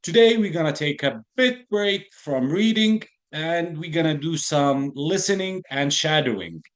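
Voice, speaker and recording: A man's voice: on the high side, animated, unhurried. A man teaching an online English class, patiently repeating key phrases and explaining idioms, speaking into a computer mic. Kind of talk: online lesson, explaining slowly. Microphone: computer mic on a video call, camera off.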